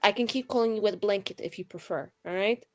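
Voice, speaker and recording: A woman acts out a brash young female schemer in a tabletop game. She rambles in casual slang, teasing and cajoling with mock sincerity, her pitch in a middling range. Music plays faintly behind her. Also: quick pace, natural voice. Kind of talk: improvised tabletop roleplay dialogue.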